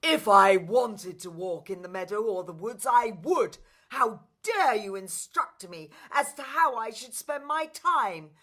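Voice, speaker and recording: A woman's voice: mid-range voice, animated, unhurried, few pauses, a bit bright. Shrieking, imperious evil stepmother. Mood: outraged, bullying. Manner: haughty, scolding, theatrical. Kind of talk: stage pantomime acting.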